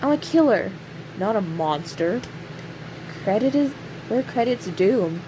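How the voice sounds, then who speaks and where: A woman playing a snarky, cocky imprisoned serial killer in an audio roleplay, sarcastic and teasing, with pointed pauses. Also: mid-range voice, close to an everyday voice. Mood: sardonic, smug. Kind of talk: audio roleplay acting, addressing an unheard listener. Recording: steady clear background hiss or hum.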